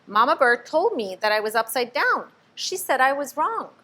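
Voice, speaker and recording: A woman's voice: high voice, sing-song, normal speed, bright, forceful tone, voice pushed up, heavily acted. A woman voicing a sweet, timid young female bat in a children's storybook reading. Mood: earnest.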